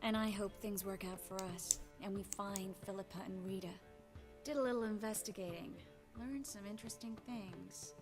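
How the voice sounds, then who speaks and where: An actress playing a brisk, capable young sorceress in a video game, speaking quickly and to the point. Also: female voice, medium pitch, fairly even intonation, lightly acted. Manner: quick, concerned, businesslike. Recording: game audio with music underneath.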